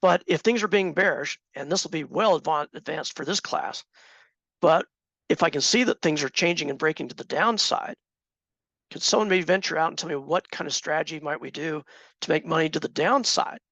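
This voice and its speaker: Gravelly, slightly hoarse male instructor. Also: on the high side, fairly quick, a bit bright, dry recording, lo-fi sound. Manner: conversational, asks the class questions. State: slightly hoarse, clearing throat. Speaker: trading instructor. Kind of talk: teaching a live class.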